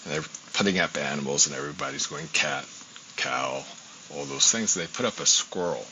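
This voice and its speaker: A man's voice: very deep voice, a little fast, bright, forceful tone. A man in his sixties, a guest scholar from the United States, telling his story in an interview in a reflective way, with many ums. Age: 60s. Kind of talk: interview conversation. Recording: dull lo-fi audio, faint hiss.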